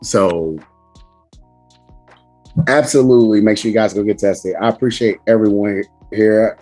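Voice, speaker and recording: A man hosting a casual podcast chat with a hoarse, raspy voice from screaming, talking loudly and emphatically with lots of swearing. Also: on the low side, a little slow, faint music behind. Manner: loud, profane, emphatic. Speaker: podcast host.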